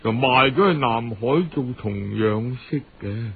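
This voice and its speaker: A man acts out a frail, tearful young Cantonese woman in a storybook drama. The delivery is weak, slow and humble, with hesitant sighs. The sound is muffled and radio-like, and the voice husky. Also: medium pitch, voice pushed down. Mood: sad, weak. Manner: weak, apologetic, sighing, hesitant.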